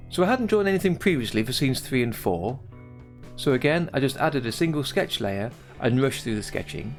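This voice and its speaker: A man giving a scripted tutorial voice-over as a hobby animator presenting his own project. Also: mid-range voice.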